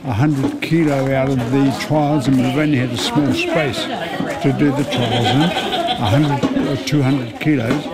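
A man's voice: medium pitch, lively intonation, unhurried. New Zealand male interviewee, mid-range voice, casual, heavy background chatter. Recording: busy background crowd noise.

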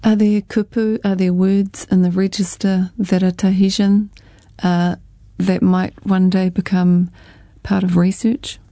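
A woman hosting a radio interview, speaking in a calm, measured way. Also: medium pitch, no room sound, studio quality. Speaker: radio host.

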